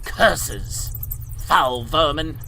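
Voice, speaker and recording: A man playing a panicky, indignant Victorian gentleman in radio theater horror, with raised, theatrical exclamations. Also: very slow, full character voice. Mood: disgusted. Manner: shouted, high-strung, theatrical exclamations. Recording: noisy recording with loud ambient rumble.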